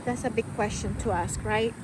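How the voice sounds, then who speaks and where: A woman's voice: fairly high pitch, normal speed, heavy background noise. A young woman from Cuba, talking candidly to camera about her life, rambling with many fillers. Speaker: vlogger. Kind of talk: personal talk to camera.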